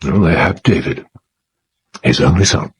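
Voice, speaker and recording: Husky, grave British spymaster. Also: male voice, a bass voice, full character voice. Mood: grim. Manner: measured, emphatic, dramatic. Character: grave, urgent male British intelligence chief. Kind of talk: period audio drama acting.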